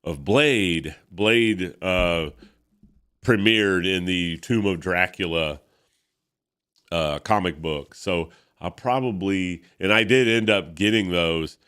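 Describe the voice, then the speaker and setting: A congested man in his fifties talks casually about old comic books, wandering off track with plenty of ums and uhs. His voice is deep and smooth under the stuffiness. He speaks as a show host chatting with listeners. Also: takes it slowly, a bit bright. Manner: rambling, filler-heavy. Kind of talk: casual comic book reading.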